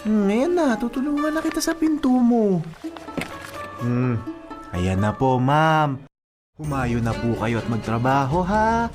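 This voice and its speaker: A man's voice: on the high side, huge pitch swings, a little slow, long pauses. A man playing a teasing, clingy young boyfriend in a radio drama, whining playfully and exaggerating his mock politeness. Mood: placating, teasing. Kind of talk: melodramatic radio drama dialogue in casual Taglish. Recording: music behind the voice.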